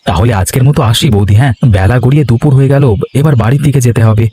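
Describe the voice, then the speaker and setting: A man's voice: mid-range voice, racing, warm tone, husky, natural voice. A man voicing a dutiful, good-natured village nephew and husband in a cartoon. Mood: reassuring. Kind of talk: Bengali cartoon voice acting.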